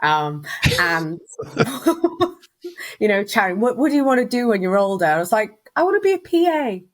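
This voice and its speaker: A woman's voice: medium pitch, very animated, normal speed, poor audio. A woman, a guest telling her career story in a chatty way and laughing often. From Wales. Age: 30s. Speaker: guest, recruitment business founder. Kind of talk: casual interview chat.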